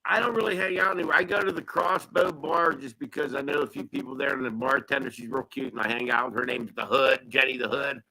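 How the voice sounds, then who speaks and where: A man's voice: mid-range voice, steady tone, fast, creaky, hardly any pauses, bright, forceful tone, poor audio. A man in his fifties, a podcast guest chatting casually, rambling and laughing often. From Pennsylvania. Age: 50s.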